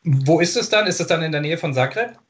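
A man's voice: a little high, animated, a little fast, a bit bright. A man interviewing a tennis player, asking long, chatty questions and often stopping to search for words. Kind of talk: sports interview. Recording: dull lo-fi sound.

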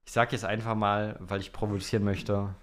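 Young male podcast co-host, low voice, slangy and conversational delivery. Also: little pitch movement, fairly quick, a bit bright. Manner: colloquial, slangy. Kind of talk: casual chat about Formula One.

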